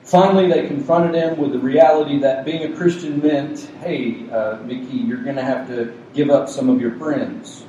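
A man's voice: mid-range voice, fairly even intonation, normal speed, few pauses, warm tone, very echoey, distant mic, highs cut off. A man preaching a Sunday sermon as a pastor, with a soothing voice and a conversational, joking manner. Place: community center meeting room with a cooling unit. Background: faint hum of a cooling unit.

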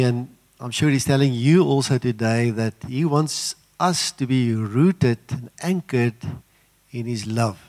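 An older man, an Afrikaans speaker, preaching a sermon in an earnest, halting way with many fillers. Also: medium pitch, darkish tone. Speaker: guest preacher.

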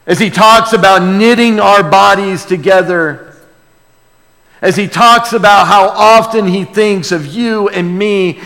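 A man preaching a sermon as a pastor, earnest and emphatic, moving between hushed lines and loud exclamation, with rhetorical questions to the congregation. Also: sits high, vocal fry. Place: large reverberant room, preaching to a congregation. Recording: long hall reverb.

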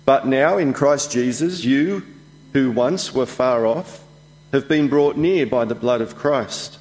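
A man reading a Bible passage aloud in a slow, measured way during a church service. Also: mid-range voice, darkish tone. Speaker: scripture reader. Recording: long echo of a church hall.

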